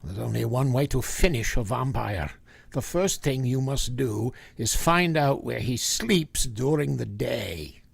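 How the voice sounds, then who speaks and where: An actor playing a grave, intense professor who hunts vampires, speaking with solemn emphasis and dramatic pauses in vintage radio style. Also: male voice, medium pitch, lively intonation, creaky, a little hiss or hum.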